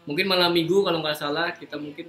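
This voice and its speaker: A man's voice: on the high side, fairly even intonation, fast, a bit bright, soft background music. A man hosting a casual live chat in an informal, joking way. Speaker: host. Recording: noisy room, live stream.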